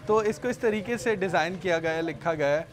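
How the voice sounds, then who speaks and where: A man with a Pakistani accent giving an interview answer, mixing English words into his speech. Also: high voice. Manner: mixes English words into Urdu.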